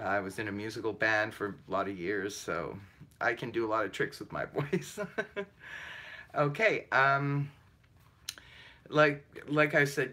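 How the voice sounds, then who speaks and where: Chatty deep-voiced man, bright tone. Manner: chatty, laughs often, many fillers. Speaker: livestream astrology host. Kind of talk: casual live chat reading viewer comments.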